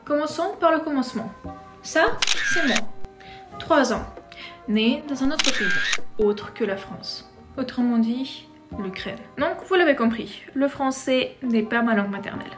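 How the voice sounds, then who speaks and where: Vlogueuse d'une vingtaine d'années, originaire de Crimée, langue maternelle tatar de Crimée, voix assez aiguë, ton vif et joueur. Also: female voice, a little high. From Crimea, Ukraine. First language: Crimean Tatar. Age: 20s. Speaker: vlogger. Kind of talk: personal storytelling to camera.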